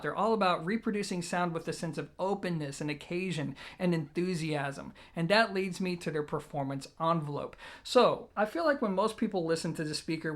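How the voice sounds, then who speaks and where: A product reviewer hosting an audio gear video. The voice sits at a middle pitch. The delivery is informal and explanatory, speaking straight to the viewers. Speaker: product reviewer and show host.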